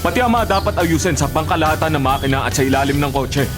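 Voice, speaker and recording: A man's voice: fairly high pitch, fast talker, natural voice. Male actor as strict caring team chief, authoritative, cautioning, Filipino radio drama, backing music. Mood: firm, cautioning.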